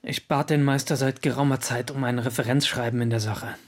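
Actor as impassioned, husky young journeyman. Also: medium pitch, fairly even intonation, brisk, close to an everyday voice. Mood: defiant. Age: 20s. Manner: impassioned, defiant. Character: hot-headed 26-year-old journeyman trumpeter, passionate and aggrieved. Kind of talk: German radio drama acting.

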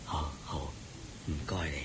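A meek, ailing monk, very deep. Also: male voice, little pitch movement, a little slow, a little hiss or hum. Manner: soft, apologetic, sometimes whispery. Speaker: monk with a stomachache. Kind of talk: Buddhist radio drama acting.

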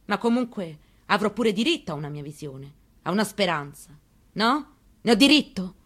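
A woman's voice: medium pitch, expressive, normal speed, lightly acted. A woman performing a dramatic reading as a grieving, defiant elderly mother, telling her story with pointed rhetorical questions. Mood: indignant. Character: grieving elderly Italian mother, bitter, defiant, searching for answers. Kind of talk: dramatic stage reading of a memoir, monologue.

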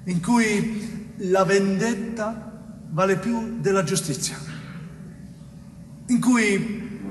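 A weary innocent man, delivering a theatrical monologue. He speaks slowly, with long pauses and a fairly high pitch. The sound is distant and reverberant, like a stage. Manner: slow, halting, dramatic delivery with long pauses. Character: weary, innocent Southern Italian man, wrongly convicted, telling his story. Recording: distant, echoey theatre stage, faint noise.

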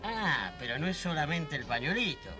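Lively, wary young Argentine lab technician. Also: male voice, a little high, normal speed, music in the background. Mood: sly bargaining. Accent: Argentine, Rioplatense. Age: young adult. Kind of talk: radio drama acting.

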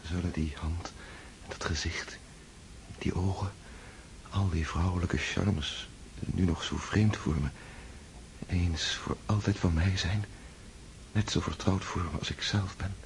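A nervous lovesick nobleman in a radio play, stammers a lot, really low voice, faint hiss. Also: fairly even intonation, vocal fry. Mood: dreamy. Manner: halting, stammering, earnest. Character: young nobleman, anxious and lovesick.

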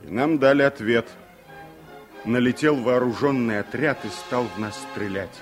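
A man's voice: mid-range voice, a little slow, over music, close to an everyday voice. An actor playing a grave former political exile in a radio play, recounting his memories in a solemn, weighty way. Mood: bitter, intense. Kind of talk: Soviet radio play dramatic storytelling.

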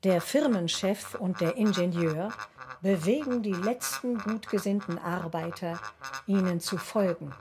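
A woman's voice: medium pitch, unhurried, lightly acted. A woman narrating a scripted documentary radio play, reading a synopsis in short declarative sentences. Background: music behind the voice.

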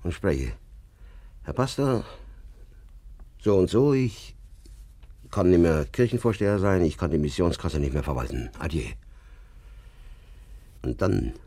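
A man's voice: animated, a little slow, long pauses, warm tone, no room sound, exaggerated voice. An actor playing a proud, stern old village father in a radio play, with an emphatic, hot-tempered way of talking. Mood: agitated, shaken. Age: older. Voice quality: husky, very deep. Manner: emphatic, agitated, swinging from muttering to outbursts. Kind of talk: naturalistic German radio play acting.

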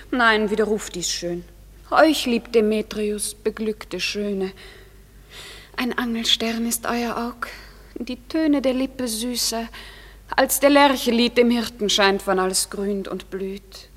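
A woman's voice: on the high side, slow. An actress playing a lovelorn, envious young woman who declaims plaintive verse in a classical radio drama. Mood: envious, pleading. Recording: vintage radio play, long reverb, music behind.

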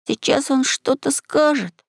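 A woman voicing an earnest, curious and bold seven-year-old boy in a storybook, asking clear, insistent questions. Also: high-pitched, normal speed, dry recording, studio quality, voice pushed up. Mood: awed.

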